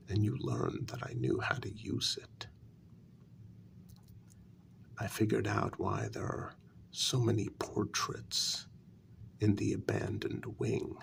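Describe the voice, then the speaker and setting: A man playing a wounded, quietly menacing human who confronts a vampire queen in a soft-spoken ASMR role-play, with a breathy, gravelly half-whisper and deliberate pauses. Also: lowish voice, slight background noise, exaggerated voice. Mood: grave, confiding. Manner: slow, deliberate, pausing between phrases.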